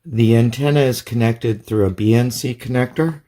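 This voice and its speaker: A man's voice: medium pitch, moderate pace, warm tone. A man giving a casual hands-on product demonstration in a plain, methodical, step-by-step way. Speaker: product reviewer.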